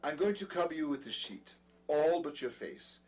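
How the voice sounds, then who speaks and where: A man's voice: on the high side, expressive, moderate pace, a little mellow, natural voice. A man playing a peculiar, condescending doctor with a dry, sardonic manner in an audio drama, secretly sinister. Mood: brisk, clinical. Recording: music behind the voice.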